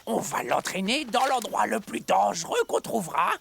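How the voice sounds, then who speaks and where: A cackling sneaky cartoon weasel. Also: male voice, high-pitched, sing-song, normal speed, vocal fry, heavy background noise, exaggerated voice. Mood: scheming. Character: sly scheming weasel plotting mischief. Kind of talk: cartoon voice acting.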